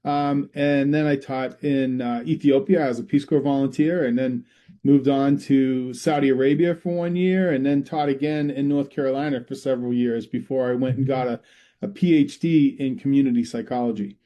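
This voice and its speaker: A man's voice: medium pitch, moderate pace, muffled recording. A middle-aged man from Massachusetts with a Massachusetts accent, a researcher answering interview questions in a rambling way with many restarts. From Massachusetts, USA. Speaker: guest, public health researcher. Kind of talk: conversational interview.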